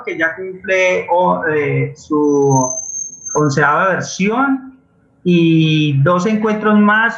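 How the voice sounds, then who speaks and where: A man with a Colombian accent speaks in a fairly high voice, feeling for his words as he talks informally as a guest. The sound is dull and very roomy, like an internet call. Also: fairly bright tone. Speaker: guest playwright and community theatre leader. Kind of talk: unscripted forum talk about theatre projects.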